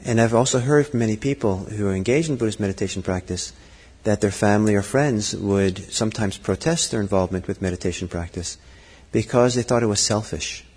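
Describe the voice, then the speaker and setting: A middle-aged man giving a Buddhist dharma talk, thoughtful and halting, with frequent fillers and restarts. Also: on the low side, a little mellow, slightly rough. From Norway. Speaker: Buddhist teacher. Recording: long hall reverb.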